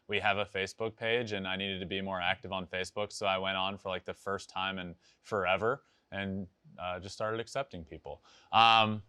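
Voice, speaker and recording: Bright, forceful American man hosting sports talk. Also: mid-range voice, dry recording, clean studio sound. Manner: conversational, emphatic, repeats phrases for stress. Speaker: podcast host and former professional baseball player. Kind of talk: casual sports talk answering listener voicemails.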